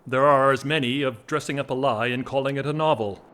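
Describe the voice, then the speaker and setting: A man acting a whimsical, restless gentleman in an audio drama, with theatrical, wordy, teasing formality. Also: mid-range voice, steady tone, a little fast, slight background noise, close to an everyday voice. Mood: wry, bantering. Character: whimsical, restless English gentleman, an aristocrat in love.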